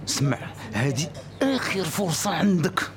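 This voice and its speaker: A man's voice: fairly high pitch, sing-song, racing, background noise. An actor playing an agitated, confrontational man delivering a threat in an audio drama. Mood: angry urgency. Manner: urgent, confrontational.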